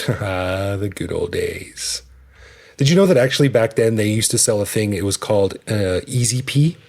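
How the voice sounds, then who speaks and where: A man with a low, husky voice co-hosts a podcast. He chats casually, cracks jokes and laughs easily. The tone is loose and conversational. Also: expressive, normal speed, a little mellow. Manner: chatty, joking, laughs often. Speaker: podcast co-host.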